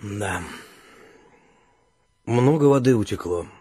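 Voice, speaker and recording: An actor playing an earnest, educated gentleman come home from abroad, formal and probing, in a radio play. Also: fairly low pitch, lively intonation, slow pace, husky, no echo, clean studio sound, faint music behind, exaggerated voice. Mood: earnest, serious.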